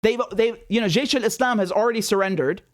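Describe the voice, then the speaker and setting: A man in his thirties, born in Syria, hosting his own commentary show in a small studio, talking straight to camera into a boom mic with indignant, sarcastic energy and frequent stumbling repeats. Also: fast. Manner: indignant, sarcastic, repeats words when worked up. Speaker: political commentator host. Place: small indoor studio room. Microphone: studio mic on boom arm.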